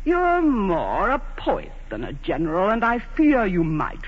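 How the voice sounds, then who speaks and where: A woman's voice: mid-range voice, wildly swooping pitch, measured pace, slightly rough, exaggerated voice. A proud aging queen, vintage radio. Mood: tender, pleading. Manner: stately, theatrical, commanding. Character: proud, aging English queen, imperious yet vulnerable in love. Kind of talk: 1940s radio theatre acting. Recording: muffled vintage 1940s radio broadcast.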